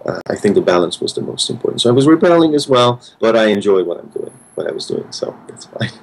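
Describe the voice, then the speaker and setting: A man's voice: on the low side, sing-song, quick pace, vocal fry, poor audio. A man of thirty from Hungary with a Hungarian accent, a pianist answering interview questions thoughtfully, often restarting his sentences. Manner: thoughtful, many restarts and fillers. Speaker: pianist guest.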